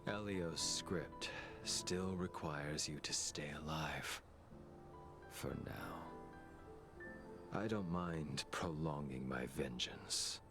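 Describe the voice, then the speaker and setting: An actor playing a cold, vengeful, brooding male hunter in a video game, with a breathy, restrained, ominous delivery. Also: extremely low pitch, slow. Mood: cold, menacing. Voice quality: breathy, whispery. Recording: game music behind the voice.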